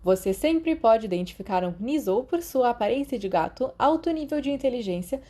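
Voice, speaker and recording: A woman voicing an enthusiastic male professor in his thirties, addressing a class in a booming, encouraging way in an audiobook. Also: expressive, fairly quick, dark tone. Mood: enthusiastic teaching. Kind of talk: audiobook character dialogue.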